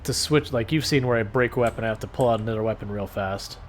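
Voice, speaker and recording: Calm soothing male game streamer. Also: lowish voice, fast, slight background noise. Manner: calm, soothing, laid-back. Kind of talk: casual live stream gameplay commentary.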